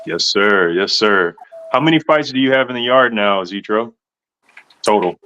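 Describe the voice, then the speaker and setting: A man's voice: lowish voice, lively intonation, normal speed, bright, forceful tone, smooth, dull audio. A man in his thirties hosting a casual interview, laid-back and slangy, calling people man and bro. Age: 30s. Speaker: host.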